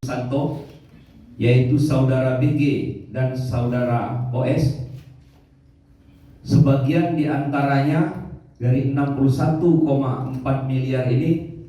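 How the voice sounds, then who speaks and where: A man giving a formal press statement as an official, in halting, measured short phrases, recorded in a very echoey room from a distant microphone. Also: medium pitch, steady tone, mellow. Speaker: prosecution official briefing the press.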